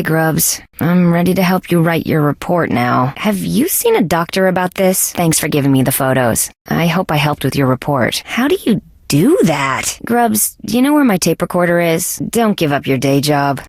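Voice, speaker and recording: Tough young female insect cop for a video game: lowish voice, wry, wisecracking, very dry close sound. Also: no room sound. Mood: sarcastic, then businesslike. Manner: sardonic, wisecracking. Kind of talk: video game character lines.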